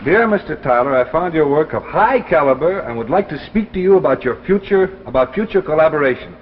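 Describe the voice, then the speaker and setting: An actor playing a restless, struggling writer and young husband in a 1950s anthology drama, excitable and sometimes halting. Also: male voice, on the high side, close to an everyday voice. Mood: eager. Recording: muffled, noisy 1950s broadcast recording.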